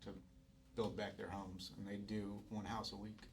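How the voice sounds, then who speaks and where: A male student speaks up from his seat in a classroom, hesitant and halting. His voice is low, very flat and gravelly, and it comes from across the room. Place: university classroom.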